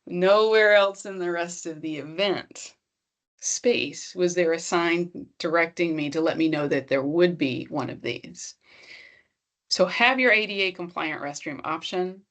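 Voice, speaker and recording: A woman's voice: on the low side, unhurried, a bit bright. A woman presenting an online accessibility workshop in a conversational, encouraging way, speaking straight to her audience.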